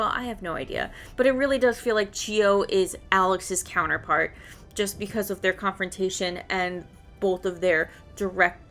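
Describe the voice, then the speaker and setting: A woman's voice: mid-range voice, a bit bright. A woman giving casual, rambling game commentary as a video creator, thinking aloud and questioning herself as she goes. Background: background music.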